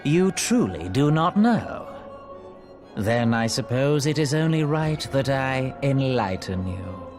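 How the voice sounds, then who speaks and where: An actor playing an arrogant, sinister sorcerer villain in a video game, with pompous, theatrical, archaic diction. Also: male voice, mid-range voice, very animated, takes it slowly, faint music behind. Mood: haughty, menacing. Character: arrogant, sinister male dark sorcerer villain, gloating and condescending.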